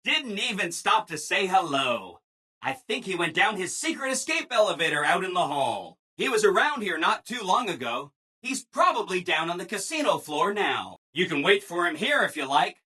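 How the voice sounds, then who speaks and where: A man's voice: high voice, big pitch swings, moderate pace, sharp tone, husky, exaggerated voice. A man playing a relentlessly cheerful, servile robot assistant in a video game, chirpy and over-agreeable, with exaggerated enthusiasm. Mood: perky, helpful. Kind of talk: scripted video game dialogue.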